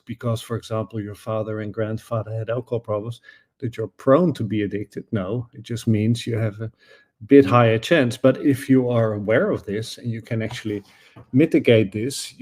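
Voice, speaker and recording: Middle-aged Dutch academic guest, relaxed warm voice, explains carefully with pauses and restarts. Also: male voice, medium pitch. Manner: thoughtful, searching for words with frequent fillers and restarts. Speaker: guest, professor and author. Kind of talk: conversational interview answers.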